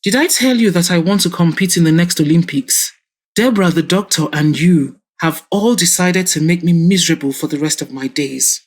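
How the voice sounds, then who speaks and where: A woman's voice: on the low side, normal speed, natural voice. A woman voicing a stubborn, irritable older father who grumbles sarcastically and flares into anger. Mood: grumbling.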